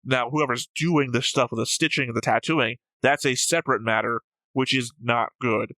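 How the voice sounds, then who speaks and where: A man's voice: mid-range voice, steady tone, normal speed, sharp tone, no room sound. A man co-hosting a reaction show, talking casually and methodically as he explains and argues out his analysis.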